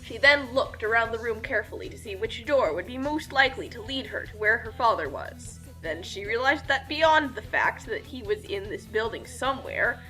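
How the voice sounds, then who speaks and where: A woman narrates a comic adventure story with mock-epic flair. Her voice is high and very animated, with a bright edge, and music plays behind. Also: normal speed, few pauses, poor audio. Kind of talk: animated comic reading of a scripted fan fiction story.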